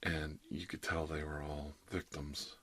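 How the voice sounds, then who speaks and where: A man's voice: extremely low pitch, flat delivery, a little slow, fairly bright tone, slightly rough. A middle-aged man doing an ASMR roleplay as a dentist, chatting casually in a rambling, jokey, one-sided conversation peppered with "you know". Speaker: ASMR performer playing an emergency dentist.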